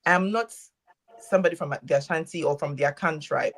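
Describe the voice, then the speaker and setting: A woman's voice: sits low, brisk. A woman from Ghana talking in a casual group chat, making emphatic points with preachy asides.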